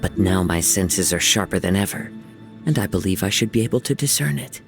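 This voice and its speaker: A man voicing a gentle, poetic young wandering samurai for a video game, soft-spoken, measured and reflective. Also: low-pitched, lively intonation, vocal fry, soft background music. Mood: serene, earnest. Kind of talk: video game character voice lines.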